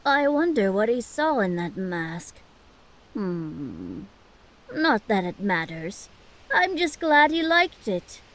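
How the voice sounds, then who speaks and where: A woman's voice: medium pitch, sing-song, slow pace, fairly bright tone, a little hiss or hum, rough recording. An actress playing a polite, inquisitive older halfling merchant woman who muses as she talks, in a fantasy audio drama. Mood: musing.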